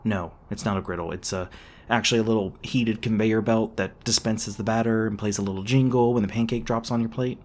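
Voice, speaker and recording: A man playing a gruff, defensive monster hunter who secretly cares, in an intimate roleplay audio drama, with casual, sweary, hesitant speech. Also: on the low side, fairly even intonation, brisk, a little mellow, natural voice. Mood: casual, caring. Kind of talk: intimate ASMR roleplay acting.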